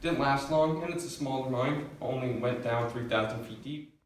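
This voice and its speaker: A man's voice: medium pitch, moderate pace. A man working as a tour guide, explaining things informally inside a mine. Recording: very echoey mine, distant mic, faint noise.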